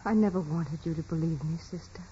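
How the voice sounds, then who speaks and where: A woman as a gentle, innocent peasant girl. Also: sits low, brisk, close to an everyday voice. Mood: meek, gentle. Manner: soft, hesitant, artless. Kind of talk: 1940s radio drama acting. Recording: muffled, noisy vintage radio broadcast.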